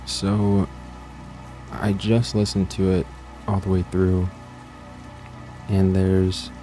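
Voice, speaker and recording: A man's voice: low-pitched, little pitch movement, takes it slowly, mellow, faint music behind. A man introducing his own audio story to viewers in a casual, rambling, offhand way, repeating himself and trailing off. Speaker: creator and host of an audiobook channel.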